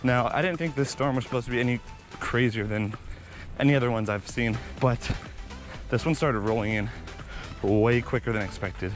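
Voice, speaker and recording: A young man vlogging outdoors on a beach, telling animated stories while running, slightly out of breath, into a body-mounted camera. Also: mid-range voice, normal speed, faint music behind. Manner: animated, excitable storytelling with sound effects. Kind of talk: casual storytelling while jogging. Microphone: body-mounted action camera.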